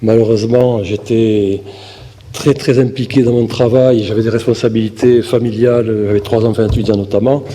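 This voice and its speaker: A man in his fifties from southern France giving a halting campaign speech to a local audience, with fillers and restarts. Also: on the low side, level tone, mellow. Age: 50s. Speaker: municipal election candidate. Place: meeting hall. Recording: long hall reverb with faint room noise.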